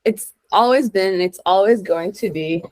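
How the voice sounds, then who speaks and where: A young adult woman from Honduras chatting casually as an interview guest, informal and expressive, peppering her stories with "like". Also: medium pitch, moderate pace. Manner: informal and expressive, peppered with "like", acts out quoted lines. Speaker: guest, real estate investor and entrepreneur.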